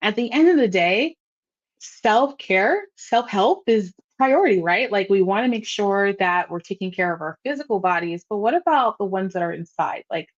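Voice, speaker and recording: A woman's voice: medium pitch, expressive, moderate pace, highs cut off. A woman hosting an online talk, speaking in an earnest, encouraging way with casual fillers. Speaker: host.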